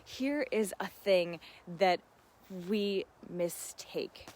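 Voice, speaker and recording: A woman's voice: medium pitch, drawn-out words, a little mellow. A woman hosting a casual live Q and A, chatting earnestly and reflectively to listeners as friends, often with laughter in her talk. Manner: laughs often while talking. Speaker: host of a live Q and A. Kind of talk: casual livestream chat with reflective asides.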